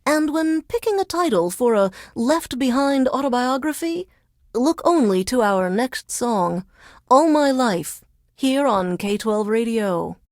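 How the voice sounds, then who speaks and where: Deadpan lady radio dj for a video game, sardonic n formal, highish voice, super dry booth sound. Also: dry recording. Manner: deadpan, measured, formal announcer delivery. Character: dry, sardonic female radio DJ under alien occupation. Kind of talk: scripted radio host announcing songs.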